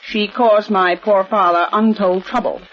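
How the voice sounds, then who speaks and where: A woman's voice: mid-range voice, steady tone, a little slow, natural voice. A woman playing a tense, proper heiress in her forties. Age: 40s. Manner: formal and insistent. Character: tense, proper wealthy eldest daughter. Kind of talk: 1940s radio acting. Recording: old lo-fi radio recording.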